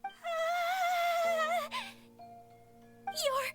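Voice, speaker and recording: Very high-pitched, self-deprecating little spirit. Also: female voice, drawn-out words, exaggerated voice. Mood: flustered panic. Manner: deadpan, wordy, easily flustered. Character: self-deprecating lazy female spirit caretaker of desert domain. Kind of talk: anime fantasy game voice acting. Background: background music.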